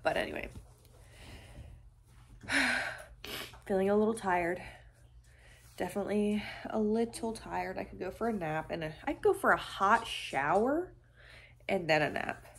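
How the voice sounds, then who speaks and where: A woman's voice: medium pitch, expressive, measured pace, vocal fry, long pauses, slightly rough. A woman in her twenties with a stuffy nose from a cold, rambling casually with many fillers as she vlogs her day into a phone held at arm's length, first in a car and then at home. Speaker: vlogger. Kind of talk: casual day-in-the-life vlog chat. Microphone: phone camera held at arm's length.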